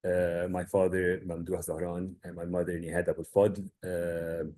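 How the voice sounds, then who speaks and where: A man in his thirties from Egypt, a guest telling his story in a webinar in a measured way with frequent filler words. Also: sits low, level tone, mellow. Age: 30s. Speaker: guest, family business owner. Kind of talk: webinar presentation.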